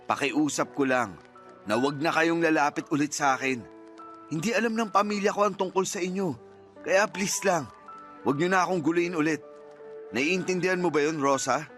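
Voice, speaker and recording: A man's voice: on the high side, fairly bright tone, close to an everyday voice. An actor playing a cold, reproachful, well-off estranged father in a radio drama. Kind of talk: Tagalog radio drama acting.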